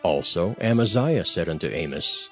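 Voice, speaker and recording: A man's voice: deep voice, expressive, moderate pace. A man reading scripted Bible narration as the narrator of a dramatized production. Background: soft music.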